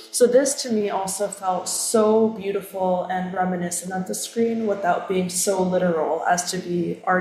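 A woman's voice: mid-range voice, a little hiss or hum. A woman presenting her creative project, explaining it in a thoughtful way. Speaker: artist presenting her work. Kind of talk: artist talk. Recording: echoey room.

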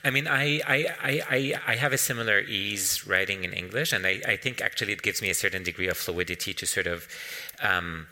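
A male author whose first language is Arabic speaks in a low, very bright voice. He answers questions at a book talk with care, often repeating words as he searches for a phrase. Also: fairly quick. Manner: thoughtful, with frequent restarts and repeated words. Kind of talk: panel discussion answering questions.

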